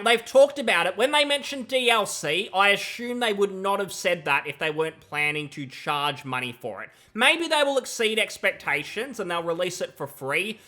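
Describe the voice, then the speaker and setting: Bright-voiced sarcastic man on a headset. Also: high-pitched. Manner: sarcastic, critical, emphatic. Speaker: gaming commentator. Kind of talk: reaction commentary. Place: home room.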